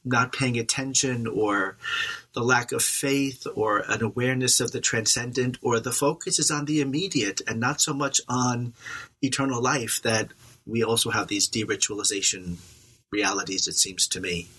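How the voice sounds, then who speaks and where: Priest guy on a podcast, husky but bright voice, calm pastoral chat. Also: mid-range voice, moderate pace. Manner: warm, reflective, pastoral. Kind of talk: casual conversation.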